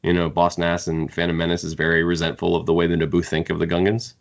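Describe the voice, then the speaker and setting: A man's voice: sits low, completely flat delivery, fast, vocal fry, dull audio. A man co-hosting a book podcast, talking casually and analytically as he gives his opinions. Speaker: podcast co-host discussing books.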